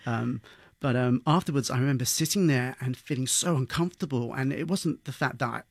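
A man's voice: mid-range voice, brisk, vocal fry. A British man from London speaks as an interview guest. He tells personal stories in a conversational way, in a mid-range voice. The recording is dry, with no room echo. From London, England.

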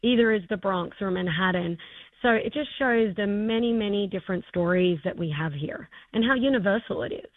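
A middle-aged Australian woman talks as an interview guest in an effusive, conversational way. Her voice sits at an average pitch. The sound is dry and narrow-band, like a limited line. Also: fairly quick, dry recording. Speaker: interview guest, arts festival co-founder.

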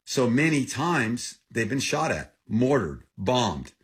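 A man in his sixties, raised in Thailand, telling personal stories in an interview, earnest and halting, often softening his voice. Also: mid-range voice, very animated, slightly rough. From United States, raised in Thailand. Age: 60s. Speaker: guest, aid group founder. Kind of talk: emotional interview storytelling.

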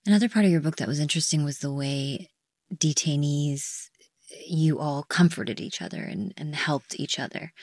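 Calm female radio interviewer. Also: sits low, no echo, studio quality. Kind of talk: interview questions.

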